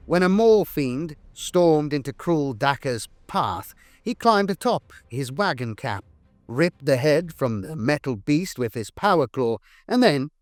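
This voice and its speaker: A man's voice: mid-range voice, no echo. Grandiose male narrator over music. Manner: grandiose, theatrical storytelling. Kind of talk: dramatic scripted lore narration. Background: background music.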